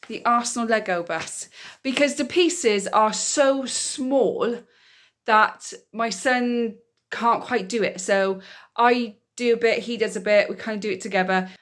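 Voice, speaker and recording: A woman's voice: on the high side, a bit bright. A woman, chatting casually in a weekly vlog, a bit nasal and bunged up from a cold. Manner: chatty, rambling, self-explaining. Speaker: vlogger, single mum.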